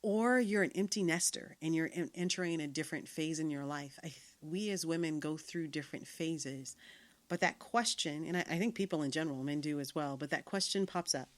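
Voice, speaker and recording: Middle-aged woman, low voice, earnest podcast monologue, reflective, halting with fillers. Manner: earnest, many fillers and restarts. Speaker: podcast host.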